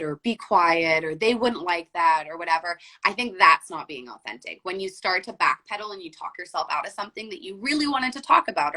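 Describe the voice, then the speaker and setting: A woman's voice: mid-range voice, bright, forceful tone. A young woman guest talking casually in an interview, full of "like" and "um" fillers. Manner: chatty, frequent "like" and "um" fillers. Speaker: podcast guest.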